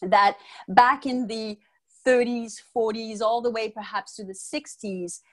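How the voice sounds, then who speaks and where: A middle-aged woman from the United States, raised in Martinique, talks as an interview guest over a video call. She explains things calmly and in measured steps. She speaks into an earphone mic in a room at home. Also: on the high side. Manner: calm, thoughtful, measured explaining. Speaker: guest, Ayurvedic practitioner. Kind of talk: interview answers.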